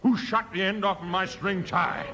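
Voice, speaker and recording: Casting: actor for belligerent American rancher rousing a mob, high male voice, 1950s radio western, plenty of background noise. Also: steady tone, normal speed, lightly acted. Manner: blustering, accusatory, folksy western dialect. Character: hot-headed, vengeful western rancher rabble-rouser. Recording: noisy, clicking 1950s radio recording.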